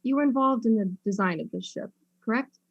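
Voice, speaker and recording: A woman's voice: fairly high pitch, a little fast, lo-fi sound. Woman acting an earnest, slightly awkward detective. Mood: polite probing. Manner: hesitant, earnest questioning.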